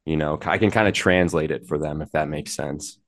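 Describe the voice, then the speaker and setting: Very deep-voiced man, flat and dry. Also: brisk, no room sound. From Wisconsin, US. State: a little sick. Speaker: guest. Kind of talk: casual interview chat.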